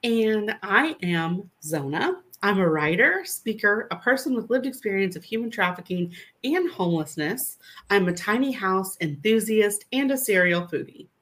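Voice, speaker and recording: A woman co-host of a podcast, speaking in a calm, measured way. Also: mid-range voice. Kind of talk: podcast hosting.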